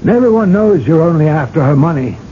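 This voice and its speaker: An actor playing an earnest young priest in a radio drama, pleading with moral gravity. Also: male voice, fairly high pitch, expressive, fairly quick, mellow, close to an everyday voice. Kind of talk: 1980s radio drama acting. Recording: dull, noisy old radio recording.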